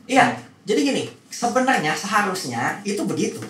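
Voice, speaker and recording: A man's voice: sits high, big pitch swings, normal speed, a bit bright. A man speaking as a blunt, opinionated host in a casual podcast discussion. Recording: roomy echo, distant mic, faint noise.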